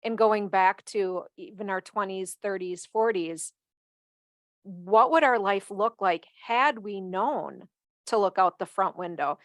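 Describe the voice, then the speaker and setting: A woman's voice: mid-range voice, takes it slowly, no room sound, studio quality. A woman in her fifties hosting a podcast, reflecting on life and putting questions to her guest. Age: 50s. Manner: reflective, builds up to emphatic questions. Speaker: podcast host. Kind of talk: interviewing a guest.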